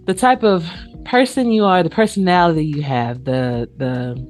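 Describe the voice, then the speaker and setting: A woman hosting a casual chat show, talkative, informal and expressive. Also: on the low side, normal speed. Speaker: show host. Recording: background music under the voice.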